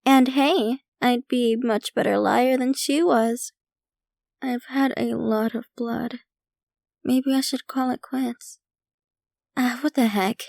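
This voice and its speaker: Actress as possessive vampire girlfriend for ASMR roleplay: slow, high female voice, theatrical and devoted. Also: fairly even intonation, gravelly, a little mellow, dry recording, studio quality. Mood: playful, indulgent. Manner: theatrical, doting, gushing. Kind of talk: ASMR roleplay, scripted intimate monologue to listener.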